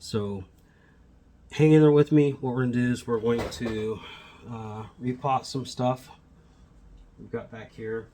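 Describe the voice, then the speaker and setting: A man's voice: medium pitch, fairly even intonation, a little slow, long pauses, mellow, slight background noise. A man with a slightly sniffly voice, a plant shop owner chatting casually to viewers, wandering and correcting himself as he goes. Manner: rambling, self-correcting, friendly address to viewers. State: sniffly from allergies. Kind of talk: casual how-to vlog. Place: indoors at home among plants. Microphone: phone camera mic.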